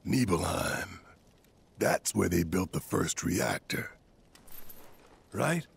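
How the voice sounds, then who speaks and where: Game cutscene heavy: gruff big man, deep, extremely raspy, brooding, unhurried. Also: lively intonation, exaggerated voice. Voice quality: gravelly, husky. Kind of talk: video game cutscene acting.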